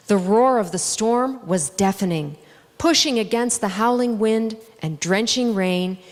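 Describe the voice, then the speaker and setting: Woman storyteller, expressive, in an echoey hall. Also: mid-range voice. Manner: deliberate, dramatizes the animal characters' lines. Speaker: storyteller reading to an all-ages congregation. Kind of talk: expressive read-aloud children's story narration. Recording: echoey hall with long reverb.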